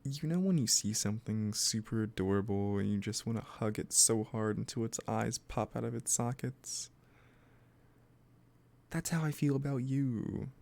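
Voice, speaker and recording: Man playing a gravelly, doting, obsessive kidnapper. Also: on the low side, a little mellow. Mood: adoring. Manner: gushing, coaxing, halting asides. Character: obsessive, doting yandere kidnapper, male, sweetly menacing. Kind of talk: scripted roleplay audio, intimate one-sided dialogue.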